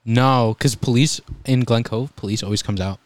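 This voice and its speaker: A young man telling EMT stories in a relaxed, calm voice during a casual chat. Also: medium pitch, normal speed. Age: young adult. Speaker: guest, EMT volunteer.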